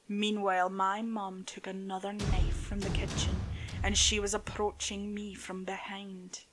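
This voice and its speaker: A woman storyteller reading a horror tale in a dramatic, suspenseful, deliberate way, with music behind her. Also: medium pitch, a bit bright. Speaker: storyteller, channel host. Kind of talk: dramatic scripted horror narration.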